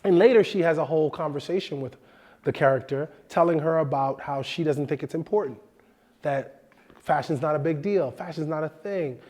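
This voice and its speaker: Guy in his 30s teaching film stuff, kinda nasal from a cold, lively, a bit high voice. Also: normal speed. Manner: animated, expressive, gestures with hands. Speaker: host. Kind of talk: film production lesson. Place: indoor studio set.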